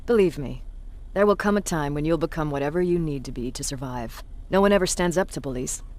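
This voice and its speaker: An actress playing a cynical, blunt resistance commander in a video game, with a measured, sardonic delivery. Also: female voice, low-pitched, a little mellow, natural voice. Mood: cynical, probing. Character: hard, cynical female resistance military commander, self-declared bully.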